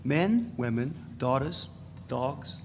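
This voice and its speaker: A man's voice: medium pitch, animated, slow, gravelly, a little mellow. A man playing a swaggering, unrepentant ex-radical reminiscing bluntly and profanely in a dramatic reading. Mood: grandiose, rousing. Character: swaggering unrepentant male ex-radical reminiscing about guns and boots. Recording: noisy live reading in reverberant hall.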